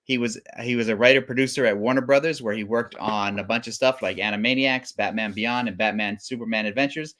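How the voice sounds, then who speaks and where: A man hosts a live comics stream as a guest presenter. His voice sits at an average male pitch, and he talks casually with wry, self-deprecating humor. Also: a little fast, few pauses, fairly bright tone. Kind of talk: casual live-show hosting.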